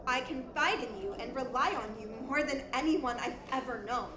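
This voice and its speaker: A woman's voice: high-pitched, normal speed, close to an everyday voice. A woman playing a young, falsely humble, manipulative actress in a theatrical radio play style. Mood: defensive. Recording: roomy echo, faint noise.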